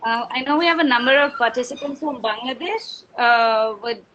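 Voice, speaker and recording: A woman's voice: fairly high pitch, normal speed, fairly bright tone, lo-fi sound, slight background noise. A woman moderating an online webinar over a video call, polite and hesitant, with frequent ums. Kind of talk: hosting an online meeting.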